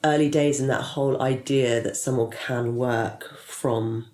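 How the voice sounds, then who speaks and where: A woman's voice: extremely low pitch, fairly even intonation, measured pace, dark tone. A woman in her forties, a PR consultant and interview guest, chatting casually and rambling with many restarts. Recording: echoey room.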